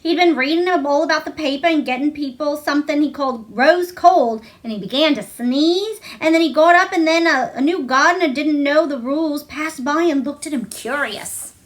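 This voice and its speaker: Lady doing a high-voiced young country maid in a storybook, gossipy n blunt, rustic dialect. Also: normal speed, hardly any pauses, close to an everyday voice. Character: young Yorkshire housemaid, chatty, blunt, anxiously deferential to masters.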